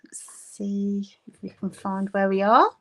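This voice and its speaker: A woman's voice: medium pitch, fairly even intonation, unhurried, a bit bright. A woman with a Bristolian accent hosting a live craft session from home, chatty and giggly, greeting viewers by name. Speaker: craft livestream host. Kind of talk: casual live craft chat. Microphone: phone camera on desk.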